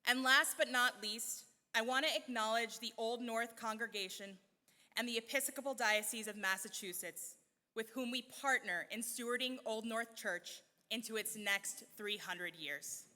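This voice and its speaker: Woman at the podium, crisp and bright, formally reads a list of acknowledgements. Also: a little high, normal speed. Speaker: event speaker. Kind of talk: scripted welcome speech. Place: large church hall. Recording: long reverb of a large hall.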